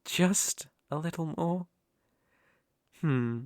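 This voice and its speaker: British man playing a slow-spoken, possessive dark elf master. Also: a little high, no room sound, clean studio sound. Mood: smug, taunting. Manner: slow, taunting, condescending, lingering on words. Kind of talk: intimate ASMR roleplay performance.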